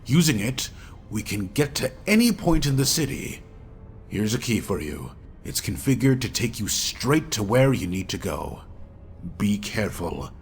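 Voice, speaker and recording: An actor playing an earnest, mysterious member of a secret order in a game cutscene, speaking in a measured, formal way. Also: male voice, fairly low pitch, very animated, a little hiss or hum. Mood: brisk, instructing. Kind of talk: video game cutscene acting.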